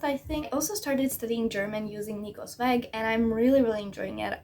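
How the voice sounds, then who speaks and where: A woman's voice: fairly high pitch, slight background noise. Chatty self-critical woman vlogger. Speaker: language learning vlogger. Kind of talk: casual vlog update.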